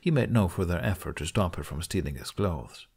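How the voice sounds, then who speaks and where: A man's voice: low-pitched, expressive, a little fast, vocal fry, a little mellow, husky. A man reading scripted fiction as an audiobook narrator.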